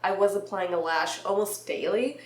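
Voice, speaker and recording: A young woman vlogging casually about beauty, chatty and laughing mid-sentence, her voice a little nasal and raspy from a recent illness. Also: medium pitch, normal speed, echoey room. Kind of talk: casual talk to camera.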